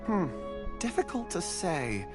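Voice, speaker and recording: A man's voice: high-pitched, big pitch swings, slow pace, exaggerated voice. A man voicing a mild, thoughtful young researcher in a video game, polite and a little hesitant. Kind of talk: video game character acting. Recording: music behind the voice.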